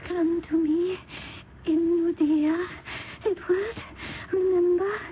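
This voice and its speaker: An actress playing a dying young Indian princess who speaks faintly and haltingly. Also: female voice, very high-pitched, fairly even intonation. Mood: fading, devoted. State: weak, dying. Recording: old radio broadcast, phone-like band with faint hiss.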